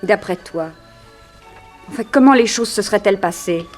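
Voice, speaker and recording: An actress playing a detective's gentle, inquisitive female companion in a French radio serial. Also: mid-range voice, moderate pace, soft background music, close to an everyday voice. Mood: curious.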